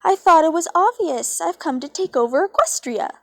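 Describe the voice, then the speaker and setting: A woman's voice: very high voice, lively intonation, normal speed, creaky, a bit bright, no echo, clean studio sound, voice pushed up, full character voice. A young woman voicing a mocking, theatrical male chaos creature from a cartoon fan story. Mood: smug, taunting. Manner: mocking, mock-innocent, theatrical. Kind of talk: amateur read-aloud of cartoon fan fiction.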